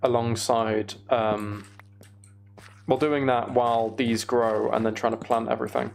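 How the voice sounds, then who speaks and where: A man's voice: mid-range voice, little pitch movement, measured pace, gravelly. A British man chatting casually on a livestream while he plays a game, rambling and thinking aloud. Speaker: streamer.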